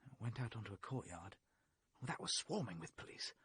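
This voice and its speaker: A self-doubting British fugitive in a vintage radio play, questioning himself in agitation. His voice is highly animated, over a clearly noisy old recording. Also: a little fast. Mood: anxious.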